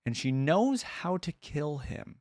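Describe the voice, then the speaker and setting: A man's voice: a little high, sing-song, slow pace, darkish tone, no room sound, studio quality. A man hosting a reaction video, giving casual commentary and musing aloud as he goes. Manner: musing, thinking aloud, repeats phrases he hears.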